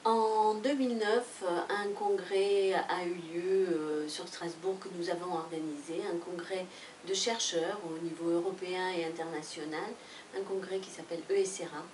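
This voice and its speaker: A woman's voice: lowish voice, steady tone, normal speed, darkish tone, a little hiss or hum. Hesitant woman, echoey and distant. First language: Spanish. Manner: hesitant, many fillers and restarts. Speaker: association director. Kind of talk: book presentation talk. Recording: echoey room from far off.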